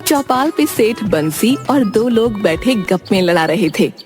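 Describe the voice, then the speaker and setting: A woman's voice: medium pitch, animated, a little slow. A woman narrating a scripted children's story as the storyteller. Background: background music.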